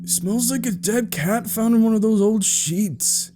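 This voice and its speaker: Man acting a scared little boy, shifted way up high, whiny, stubborn, indignant, storybook character. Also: expressive, normal speed, mellow, soft background music. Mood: disgusted, indignant. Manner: pleading, indignant, childish exclamations.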